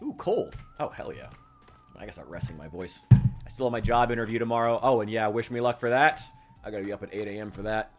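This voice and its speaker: Animated, profane male streamer. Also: fast talker, faint music behind. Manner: animated, profane, swings between loud hype and low mutters. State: losing his voice. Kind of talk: casual live-stream chat while gaming.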